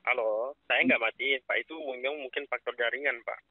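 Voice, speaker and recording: Fast-talking man on a dull phone line. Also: medium pitch, steady tone. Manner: businesslike, pressing. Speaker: phone prize scammer. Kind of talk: phone conversation.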